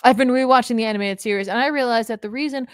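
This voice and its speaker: A young woman co-hosting a comic book show, playful and quick to laugh, reading viewer comments aloud. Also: fairly high pitch, smooth, dry recording, clean studio sound. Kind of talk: casual podcast chat, reading viewer comments.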